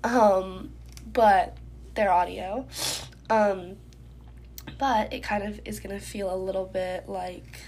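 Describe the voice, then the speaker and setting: A woman's voice: medium pitch, steady tone, slow, long pauses, darkish tone, a little hiss or hum. A young woman hosting a casual solo podcast, chatting loosely with lots of ums, her voice stuffy and congested from a recent illness. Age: young adult. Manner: chatty, many ums, getting ready while talking. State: congested, stuffy nose, sore throat. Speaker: podcast host.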